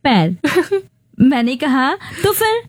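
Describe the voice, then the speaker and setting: A woman's voice: high-pitched, very animated, slow pace, dry recording, clean studio sound. A woman hosting an FM radio show, chatting cheerfully and mixing English words into her talk. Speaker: radio host. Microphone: studio mic.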